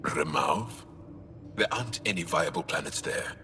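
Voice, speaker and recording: An actor playing a serious, insistent alien crew member in a video game, speaking firmly and deliberately. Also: male voice, deep voice, animated. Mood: firm, concerned. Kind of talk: video game cutscene acting. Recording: noisy, long-echoing room ambience.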